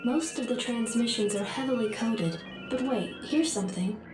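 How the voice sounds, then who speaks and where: An actress voicing a calm, formal military computer adjutant giving a game mission briefing through a synthetic comms filter. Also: female voice, fairly high pitch, moderate pace, music in the background. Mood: informative. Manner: even, formal reporting. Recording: heavily echoing, distant, phone-like comms sound.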